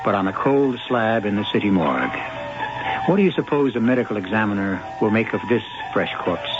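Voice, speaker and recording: An actor as the storyteller host of a radio mystery, narrating theatrically in a wry, ominous manner. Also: male voice, on the low side, sing-song. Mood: wryly ominous. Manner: wry, ominous, deliberate diction. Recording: dull old radio broadcast recording. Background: music.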